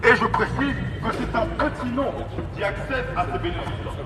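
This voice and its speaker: A man's voice: very high voice, lively intonation, normal speed, crowd noise. A man preaching an evangelistic street sermon through a megaphone, emphatic, repetitive and exhorting. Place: outdoors in an urban street. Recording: distant, noisy outdoor megaphone with street traffic.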